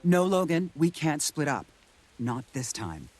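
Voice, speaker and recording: Game casting: earnest heroic commander, husky tone, lively intonation, resolute. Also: male voice, on the high side, unhurried, soft background music. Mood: grave resolve. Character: resolute, earnest male military commander hero. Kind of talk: video game cutscene acting.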